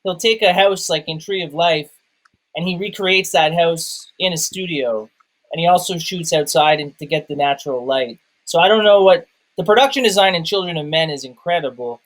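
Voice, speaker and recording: A man in a casual film discussion, thinking aloud in a halting way and repeating words as he goes. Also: fairly high pitch, fairly bright tone, low-quality recording. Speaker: film review show host.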